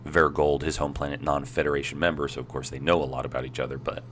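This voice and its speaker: A man with a very deep, low voice, thick with congestion from a cold. He talks in a flat, even, laid-back way as a podcast co-host recapping a game's story. Faint background noise sits under the recording. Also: fast. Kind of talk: casual chat.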